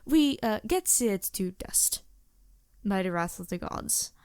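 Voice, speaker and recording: A woman voicing a polite, anxious and gentle vampire with formal, earnest phrasing in a fantasy audio roleplay. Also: mid-range voice, animated, slow, smooth. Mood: cautioning. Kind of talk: fantasy ASMR roleplay acting.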